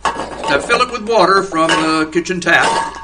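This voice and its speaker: A man playing a weary, stubborn small-town mailman in a radio play, speaking formally and deliberately. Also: a little high, heavy background noise, lightly acted. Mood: resolved, brisk. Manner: formal, deliberate, repeats phrases. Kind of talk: radio theater acting.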